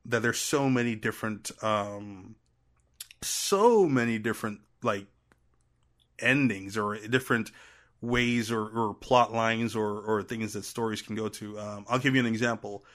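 A man with a Trinidadian accent, a podcast guest chatting casually in a jokey, animated way. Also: lowish voice, unhurried. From Trinidad and Tobago. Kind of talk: casual interview chat.

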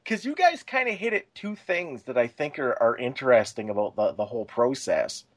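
A man's voice: medium pitch, big pitch swings, normal speed. A man co-hosting a podcast, chatting casually in a rambling, colloquial way. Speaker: podcast co-host.

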